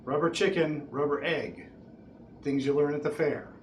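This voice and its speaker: A middle-aged man delivers showman patter as a juggling host. His voice sits a little high and his delivery is theatrical. The small bare room echoes strongly, with some noise behind him. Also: fairly even intonation, normal speed. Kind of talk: showman stage patter to an audience.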